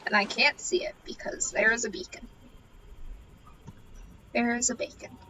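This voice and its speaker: A woman's voice: on the high side, animated, moderate pace, recorded from a distance, background noise. A woman chatting casually while streaming a video game, with a playful, silly manner and a habit of repeating phrases in a singsong. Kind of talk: casual gameplay chat.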